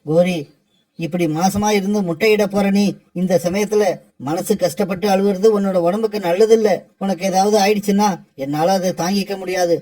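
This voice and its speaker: A man voicing a devoted male crow in a cartoon, consoling his wife in a gentle, anxious way. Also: high-pitched, fairly even intonation, moderate pace, rough recording. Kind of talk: cartoon voice acting.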